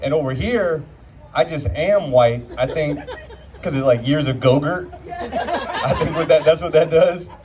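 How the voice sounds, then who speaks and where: A man's voice: fairly high pitch, expressive, measured pace, recorded from a distance, background noise. A young man from Orange County doing a rambling, self-mocking stand-up set in a brew pub comedy club. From Orange County, California. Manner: self-deprecating, rambling with filler words.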